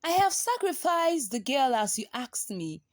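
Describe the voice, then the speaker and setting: A woman's voice: high voice, sing-song, measured pace, dry recording. A woman voicing a power-hungry, scheming village chief in a folktale, pleading intensely.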